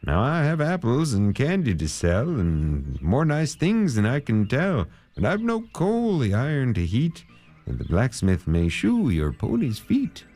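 A man's voice: medium pitch, huge pitch swings, a little slow, warm tone, faint music behind, pitched above the performer's own voice. A man voicing a proud, politely unhelpful storekeeper in a children's storybook, in sing-song rhyme. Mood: proud refusal.

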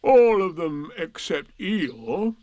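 A gloomy old donkey, dragging every word out in a very slow, mournful drawl. His voice rises high and swings widely in pitch, sitting dry and close. Sarcastic and self-pitying, a storybook creature. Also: very animated, a little mellow, no room sound, heavily acted. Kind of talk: storybook reading.

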